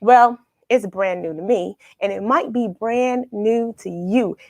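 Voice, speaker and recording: A woman hosting a live read-along for children, chatting with viewers in an upbeat, excited manner. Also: medium pitch, slow, dry recording.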